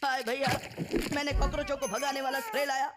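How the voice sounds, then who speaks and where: An earnest, good-natured cartoon cat speaks in a high, theatrical voice. He sounds like a deferential younger brother. Loud cartoon music plays behind him. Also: flat delivery, fast. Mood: anxious, explaining. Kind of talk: Hindi cartoon dubbing.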